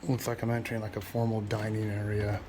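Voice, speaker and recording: A man's voice: lowish voice, monotone, fast talker, background noise. A man giving a casual walking tour of a museum, thinking aloud with fillers and restarts, his voice cracking now and then. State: voice keeps cracking. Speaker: travel vlogger. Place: indoor and courtyard museum rooms.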